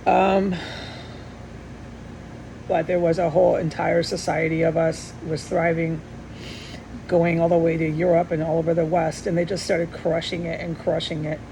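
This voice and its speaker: A middle-aged woman giving a rambling, earnest personal monologue with insistent, repeated emphasis and a gravelly voice. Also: normal speed, long pauses, poor audio. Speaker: vlogger. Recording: steady background hum and rumble.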